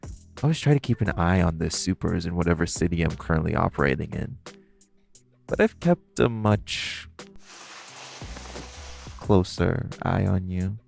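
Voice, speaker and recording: A man's voice: low-pitched, expressive, a little slow, gravelly, long pauses, darkish tone, faint music behind, exaggerated voice. A man playing a flirty, teasing supervillain thief in an audio roleplay, coy and theatrical, drawing out his phrases as he talks to the listener. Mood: coy, flirtatious.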